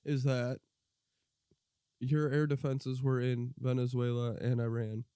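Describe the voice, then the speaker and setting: A man's voice: mid-range voice, flat delivery, unhurried, mellow, clean tone, no echo, studio quality. An American man co-hosting a podcast, chatting casually about politics in a blunt, profane, opinionated way. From United States. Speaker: podcast co-host.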